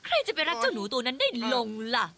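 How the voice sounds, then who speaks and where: A woman's voice: very animated, quick pace, crowd noise. A woman voicing a spoiled, bossy female mouse bride in a cartoon dub, whining and wailing about her wedding. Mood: scheming, ranting. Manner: shrill and whiny, rising to wailing rants. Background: cartoon music.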